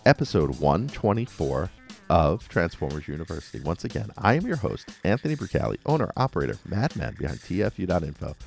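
Deep-voiced, animated male podcast host. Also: vocal fry. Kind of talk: casual solo fan commentary.

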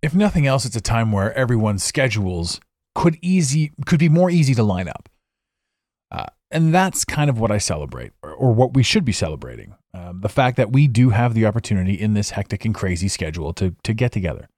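A man's voice: on the low side, sing-song, brisk, darkish tone, no room sound. A man hosting a solo podcast from his home studio, rambling and reflective, with frequent restarts. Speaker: podcast host. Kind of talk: impromptu solo podcast monologue. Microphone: studio microphone.

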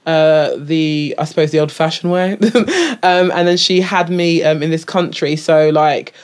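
A woman's voice: sits low, measured pace. Chatty woman with constant restarts. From England. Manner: animated, full of restarts and fillers, laughs often. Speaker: podcast guest, improviser. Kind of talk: casual interview chat.

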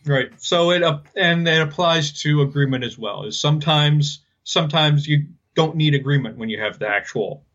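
A man co-hosting a podcast, talking casually and haltingly as he explains things. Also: on the high side, fairly bright tone, highs cut off. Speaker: podcast co-host. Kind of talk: casual linguistics discussion.

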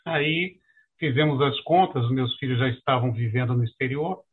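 Husky older Brazilian man on muffled call audio. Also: mid-range voice, normal speed. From Rio de Janeiro, Brazil. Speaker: guest presenter, international mobility professional. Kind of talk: conversational introduction to an audience. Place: online video call. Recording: muffled, narrow-band video call audio.

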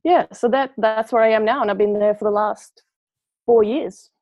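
A woman's voice: on the high side, steady tone, moderate pace, darkish tone, no echo, dull audio. A Swedish woman in her thirties, a nurse, with a Swedish accent blended with Australian. Her first language is Swedish, and she chats casually as an interview guest, laughing often and restarting her sentences. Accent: Swedish mixed with Australian. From Sweden.

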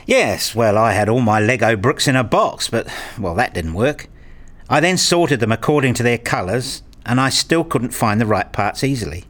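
A man's voice: medium pitch, a bit bright. A man playing an earnest married father and hobbyist, narrating and acting his scripted story in a radio play. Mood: curious. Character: eager married father and hobbyist, a little rueful. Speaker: narrator and main character.

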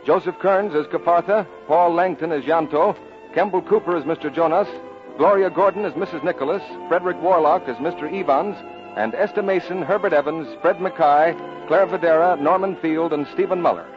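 A man's voice: fairly high pitch, brisk. A man reading scripted announcements in a 1940s radio announcing style. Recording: dull vintage 1940s radio, music behind.

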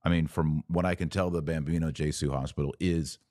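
A man's voice: rumbling low, steady tone, a little fast, a little mellow, no room sound, clean studio sound. A man hosting a religious commentary podcast, reading text aloud and remarking on it in an emphatic, questioning manner.

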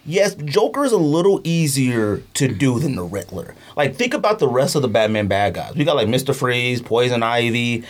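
A man's voice: mid-range voice, sing-song, moderate pace, few pauses. A man chatting casually as a podcast host, emphatic and opinionated, with slangy phrasing and repeats for emphasis. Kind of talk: casual podcast banter about movies.

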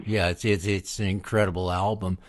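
A man's voice: low-pitched, level tone, fairly quick. A man hosting a music show, chatting with a guest and introducing songs. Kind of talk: music show interview chat.

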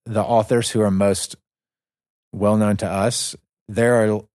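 A man's voice: fairly low pitch, little pitch movement, slow, darkish tone, no room sound, studio quality. A man co-hosting a casual podcast, talking eagerly and often restarting his sentences. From United States. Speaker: podcast co-host.

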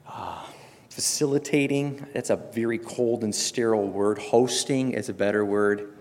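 A man, a pastor, introducing a guest speaker and interviewing him at a conference, speaking earnestly with pauses and fillers. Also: fairly low pitch. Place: large church auditorium. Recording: long hall reverb.

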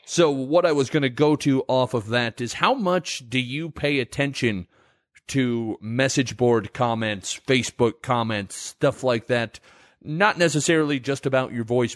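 A man's voice: mid-range voice, normal speed, no room sound, studio quality. A man hosting a podcast, asking a guest long, wandering questions in a conversational way. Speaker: podcast host. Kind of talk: casual interview.

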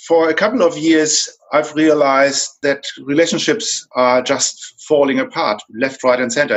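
German man in his 50s, podcast guest, husky voice, earnest and explanatory. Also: medium pitch, fairly bright tone, rough recording. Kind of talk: podcast interview.

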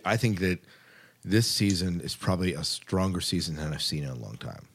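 A man's voice: deep voice, lively intonation, fairly quick, fairly bright tone, slightly rough. An American man hosting a podcast, reading news items and chatting casually between them. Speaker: podcast host. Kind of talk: podcast news segment, partly read, partly casual chat.

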